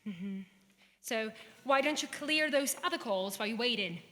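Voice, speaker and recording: A woman plays a quick, efficient young switchboard operator, her high voice swooping lively through each line. She is crisp and businesslike, and the stage hall rings around her with music underneath. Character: brisk young female army telephone operator.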